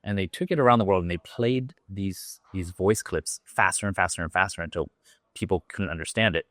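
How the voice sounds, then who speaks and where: Podcast guest: Japanese man, learned American accent, very low pitch, chatty, dry sound. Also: brisk, fairly bright tone, no room sound. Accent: American, learned from an acting coach. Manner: loose and talkative, fillers and restarts. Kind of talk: podcast interview.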